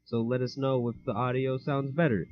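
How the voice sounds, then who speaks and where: A man's voice: mid-range voice, flat delivery, brisk. A young man co-hosting a podcast, chatting casually and rambling, often laughing and mixing up his words. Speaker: podcast co-host. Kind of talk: casual chat. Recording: dull lo-fi home mic.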